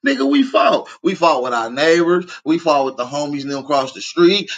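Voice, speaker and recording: A man's voice: fairly high pitch, expressive, brisk. An American man giving casual, opinionated reaction commentary, blunt, slangy and confrontational, with frequent swearing. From United States.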